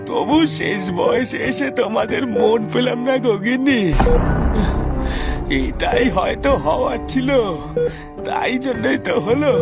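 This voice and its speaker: A man's voice: extremely high pitch, gravelly, exaggerated voice. A man voicing a meek, hapless old Bengali husband in a comedy cartoon, plaintive and pleading. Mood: sorrowful, resigned. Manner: plaintive, pleading, repeats little interjections.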